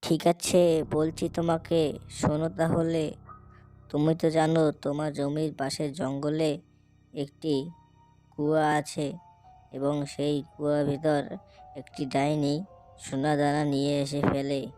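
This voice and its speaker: A talking crow from a children's cartoon, voiced by a woman, polite and coaxing as it bargains. The voice sits low, with little rise and fall and a soft, mellow tone. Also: level tone, takes it slowly, long pauses, soft background music. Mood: confiding, cautioning. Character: talking crow, hungry, pleading, helpful bargainer.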